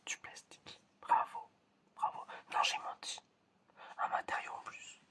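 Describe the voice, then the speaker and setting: A person whispering in a breathy, almost voiceless way in an ASMR roleplay as an examiner, halting and repeating short phrases. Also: a bit bright. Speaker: ASMR creator playing an examiner giving a five senses test.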